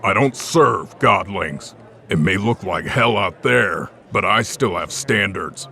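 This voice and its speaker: A man's voice: deep voice, lively intonation, slow pace, vocal fry, bright, forceful tone, heavily acted. A man playing a massive, stern Cyclops bartender in a fantasy audio drama, dismissive and threatening. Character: gruff, massive one-eyed Cyclops bartender, stern and principled. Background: faint music.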